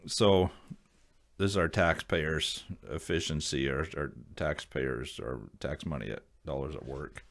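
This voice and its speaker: American man, extremely low voice, casual hobbyist explainer, rambling, folksy, plain-spoken. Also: measured pace, gravelly. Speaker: video creator sharing radio hobby advice. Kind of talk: casual unscripted explainer to viewers.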